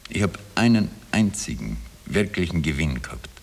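A weary Austrian ex-soldier confessing and justifying himself in an old radio drama. The voice is low and husky, earnest and wistful, with an Austrian accent. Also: male voice, big pitch swings, moderate pace, exaggerated voice. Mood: pleading, explaining. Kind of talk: 1960s radio drama acting.

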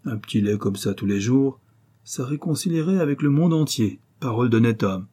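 A man voicing a crude, drunken male convict bandit who talks in loud, slangy jeers. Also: mid-range voice, lively intonation, normal speed, husky. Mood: jeering.

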